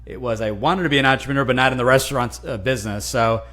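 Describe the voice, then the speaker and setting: A man from New Jersey whose first language is Italian, speaking as a guest in a casual interview, animated and often restarting words. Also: mid-range voice, very fast. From New Jersey, USA. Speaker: guest, franchise consultant.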